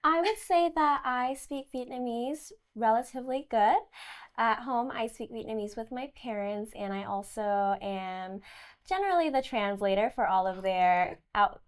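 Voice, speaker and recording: A woman's voice: medium pitch, moderate pace, clean tone. A young woman from Arizona politely answering interview questions and addressing the host by name. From Arizona, United States. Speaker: pageant candidate, interviewee.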